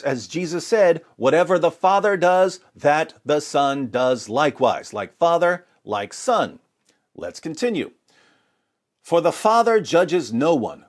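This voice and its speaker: Animated man delivering dramatic religious commentary. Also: a little high. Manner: dramatic, rhetorical, sarcastic mock alarm. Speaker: apologetics presenter. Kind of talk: scripted religious commentary with scripture reading.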